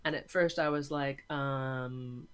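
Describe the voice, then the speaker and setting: Reflective man explaining in short, halting phrases. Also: medium pitch, steady tone, fairly bright tone, smooth. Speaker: interview guest, audio drama maker and filmmaking teacher. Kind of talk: thoughtful interview conversation.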